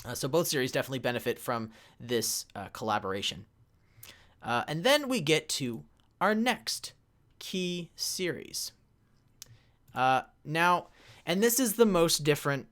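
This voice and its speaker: A man's voice: fairly high pitch, sing-song, measured pace, a bit bright. A man presenting a convention panel in an informal way, recounting a history with hesitant starts and emphatic bursts.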